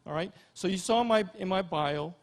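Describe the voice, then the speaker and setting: Man, middle-aged, slightly high-pitched. Informal keynote speaker, folksy storyteller, pauses often mid-thought. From Hawaii. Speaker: Army general and physician, keynote speaker. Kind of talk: informal keynote speech with personal stories.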